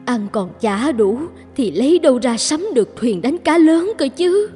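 A woman playing a weary, poor fisherman's wife and mother in an animated fairy tale. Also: high-pitched, normal speed, big-room sound, faint music behind. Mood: weary, worried.

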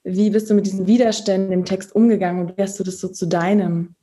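Mellow-voiced woman moderator. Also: mid-range voice, flat delivery, fast talker, breathy edge. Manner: long, probing questions. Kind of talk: moderating a panel talk. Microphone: video call.

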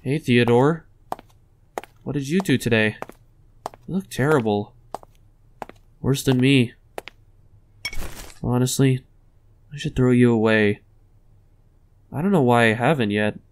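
A young man acting in a comic dub as a brooding, grieving, haunted young man, weary and halting in his terse delivery. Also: mid-range voice, a little hiss or hum, natural voice. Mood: weary, bitter. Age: young adult. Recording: roomy reverb, faint music underneath.

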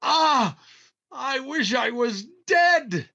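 A man's voice: very high voice, expressive, drawn-out words, pushed and bright, husky, heavily acted. An older man playing a long-suffering, scolding family man in an old-time radio comedy, theatrical and exasperated. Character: exasperated, long-suffering grumbling husband and father. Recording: slightly dull online recording.

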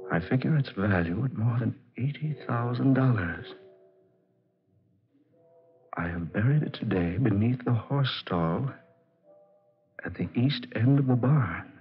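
An actor playing a tormented, weary man with a halting, intense delivery in 1940s radio style. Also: medium pitch, expressive, natural voice. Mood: reading intently. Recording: dull 1940s radio recording, music behind.

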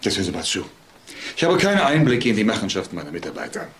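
Evasive, quick, very animated male manager. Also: fairly low pitch, slight background noise. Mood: defensive. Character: evasive, smooth-talking male insurance manager suspect. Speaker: actor in a crime audio drama. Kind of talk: naturalistic German radio drama acting.

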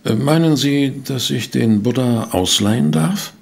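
An actor playing a polite, pensive private detective who narrates and investigates in a German audio drama. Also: male voice, medium pitch, unhurried, creaky, husky. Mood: intrigued. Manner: courteous, pensive, hesitant. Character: polite, inquisitive male private detective, narrating his case.

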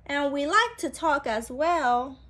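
Very high, bright-voiced young woman reading to kids. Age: young adult. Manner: animated, exaggerated, sing-song delivery with simple questions to children. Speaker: preschool teacher. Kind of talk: read-aloud story time for small children. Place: small room at home, indoors. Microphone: phone at arm's length.

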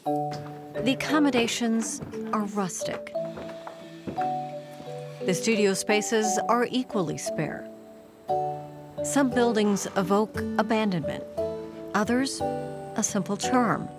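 A woman reading scripted documentary narration in a measured, polished voice-over. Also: medium pitch, animated. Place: studio voice-over. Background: background music.

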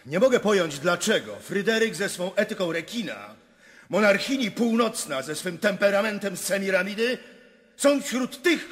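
An actor playing a proud, idealistic young prince and officer in a radio drama, declaiming earnestly and solemnly. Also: male voice, high-pitched, a bit bright. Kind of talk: theatrical radio drama acting. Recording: long hall-like reverb.

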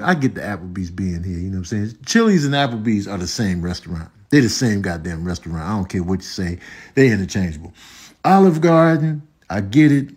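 An American man, a comedian doing slangy, mock-indignant comic commentary with drawn-out exclamations. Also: lowish voice, slightly rough. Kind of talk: comedic voiceover commentary, riffing.

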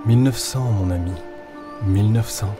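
A man's voice: fairly low pitch, normal speed, music in the background, close to an everyday voice. A man playing a solemn, mysterious companion in a French audio drama. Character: solemn mysterious companion announcing the hour and year.

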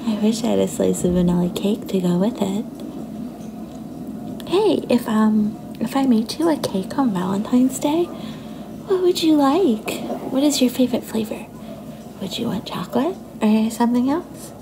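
A woman playing a sweet, insecure young girlfriend in a soft-spoken ASMR roleplay, hesitant and coy, often slipping into a breathy whisper. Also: a little high, lively intonation, unhurried. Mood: affectionate, tipsy. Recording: noticeable background noise and ambience.

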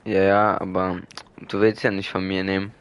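A man chatting in slang during an online game over a headset, giving orders and turning loud when excited. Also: low voice, normal speed, slight background noise. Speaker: gamer streaming with a friend. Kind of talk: casual gaming chat with slang.

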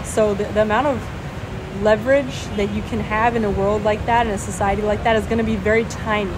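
A young woman whose first language is Farsi, musing aloud in a rambling, reflective vlog on a café rooftop, recorded close on a phone. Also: medium pitch. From United States. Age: young adult. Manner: rambling, reflective, many fillers and restarts. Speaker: vlogger. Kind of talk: casual stream-of-consciousness monologue. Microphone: phone camera held low, close. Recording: loud background noise, air conditioning and traffic.

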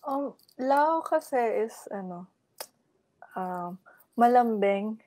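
A woman's voice: fairly high pitch, lively intonation, slow, dark tone. A young Filipino woman who plays volleyball, talking over an earphone mic on a video call in a giggly, teasing way. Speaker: volleyball player guest.

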